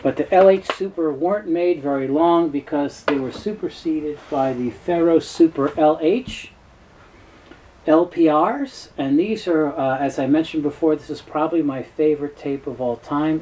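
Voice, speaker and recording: A man presenting an informal, unscripted product explainer in a halting, hesitant way with frequent pauses. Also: medium pitch, dark tone, a little hiss or hum, rough recording. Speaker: presenter of a tape review video.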